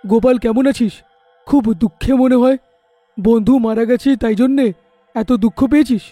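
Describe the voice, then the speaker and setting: A man playing a distraught village man in an animated horror story, speaking in a dramatic, lamenting way. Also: very high voice, normal speed, dry recording, soft background music. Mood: grave, concerned.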